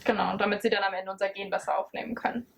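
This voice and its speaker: A woman's voice: mid-range voice, fairly even intonation, very fast, vocal fry. A woman presenting as a scientist in an educational film, explaining things in semi-scripted dialogue. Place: laboratory. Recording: faint background noise with clicks.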